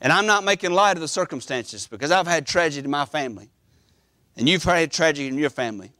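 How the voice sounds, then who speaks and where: A middle-aged man preaching an emphatic, animated church sermon, repeating phrases and pausing for weight. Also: on the high side, no room sound, studio quality. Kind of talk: Baptist sermon. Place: church sanctuary, preaching from the platform.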